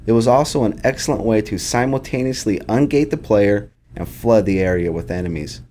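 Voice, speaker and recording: A man's voice: on the low side, a little fast, dark tone, a little hiss or hum. A man reading scripted commentary as a game developer.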